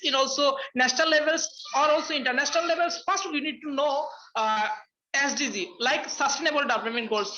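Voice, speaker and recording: A woman's voice: high voice, sharp tone, highs cut off. A young woman from Bangladesh, a Bengali speaker with a Bangladeshi accent, giving hesitant webinar remarks full of fillers and restarts over a video call. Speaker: webinar panelist. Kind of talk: halting spoken remarks in a webinar.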